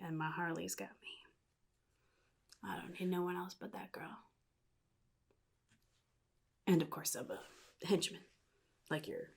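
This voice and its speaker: A woman playing a sly, chaotic supervillain in a soft-spoken ASMR roleplay, often dropping into a breathy whisper with a casual, menacing drawl. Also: lowish voice, full character voice. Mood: teasing, aloof, then quietly menacing. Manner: casual drawl, offhand, slyly threatening.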